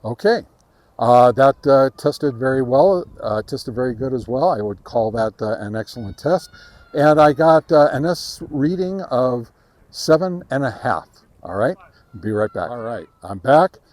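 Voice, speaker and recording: Halting man talking through a field test. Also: mid-range voice, expressive, darkish tone, no echo. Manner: halting, hesitant delivery. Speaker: host of a radio gear review channel. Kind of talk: casual field-test commentary. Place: outdoors in a park.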